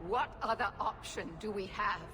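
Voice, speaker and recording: An actress playing a stern, zealous middle-aged knight commander in a fantasy game, speaking with formal, commanding severity. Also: female voice, mid-range voice, moderate pace, music in the background, close to an everyday voice. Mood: fervent, resolute. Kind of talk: dramatic fantasy game acting.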